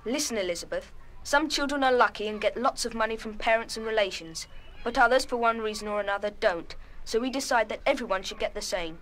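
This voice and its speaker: Female actor doing calm fair head pupil, british, a bit high, firm and orderly, radio play. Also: fast, slight background noise, natural voice. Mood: reasoning, patient. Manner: firm, reasonable, businesslike. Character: calm, fair-minded head pupil chairing school meeting. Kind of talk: full-cast radio play acting.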